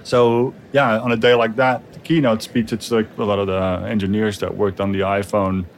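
A man's voice: on the low side, little pitch movement, moderate pace, slight background noise. A man being interviewed as a designer, recalling past work in a casual, informal, loosely phrased way. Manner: informal, loosely phrased, often quoting dialogue. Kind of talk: casual spoken recollection.

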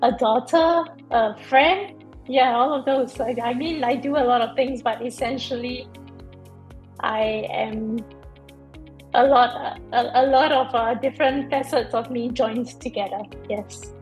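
Young woman guest, higher voice, thoughtful answers, faint music behind. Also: fairly even intonation, a little slow. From Singapore. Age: young adult. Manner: thoughtful, hesitant with fillers. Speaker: Paralympic swimmer, guest. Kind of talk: casual interview answers.

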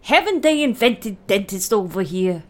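A woman's voice: mid-range voice, sing-song, darkish tone, natural voice. A woman playing a brash, foul-mouthed middle-aged American office boss in an audio drama comedy, blunt and emphatic. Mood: condescending, brisk. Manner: blunt, emphatic, drawn-out delivery.

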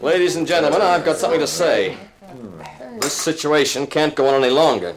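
A man's voice: a little high, a little fast, close to an everyday voice, rough recording. An actor playing a tough, wisecracking insurance investigator who narrates his own case in a 1950s radio crime drama, with a sardonic, commanding manner. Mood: deliberate, firm. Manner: hard-boiled, sardonic, commanding.

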